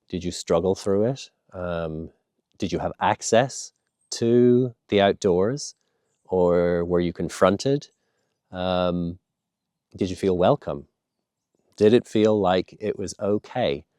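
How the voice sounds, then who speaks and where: A man with a very low voice speaks in an earnest, measured way, as in a reflective vlog. He sounds a little nasal from a head cold, and the sound is dry and close, with no room echo. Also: mellow, clean tone. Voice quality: slightly nasal, bunged up. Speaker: vlogger. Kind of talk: reflective outdoor vlog talk to camera.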